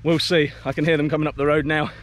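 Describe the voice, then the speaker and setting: A man's voice: on the high side, little pitch movement, fast talker, a little hiss or hum. Rambling man narrating outdoors on a farm. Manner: rambling, restarts and repeats words. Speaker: vlogger and smallholder. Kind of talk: casual narration to camera.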